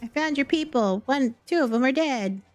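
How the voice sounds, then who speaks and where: A woman's voice: high voice, animated, normal speed, dry recording. A woman live streamer giving casual, playful commentary on a game, muttering to herself in short remarks. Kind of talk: casual gameplay commentary, reading game dialogue aloud. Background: background music.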